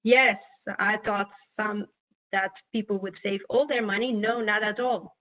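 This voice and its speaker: A woman's voice: mid-range voice, steady tone, measured pace. A middle-aged woman from Belgium giving an earnest guest talk, with many fillers and restarts. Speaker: guest speaker on entrepreneurship. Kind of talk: webinar talk. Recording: tinny phone-like online call audio.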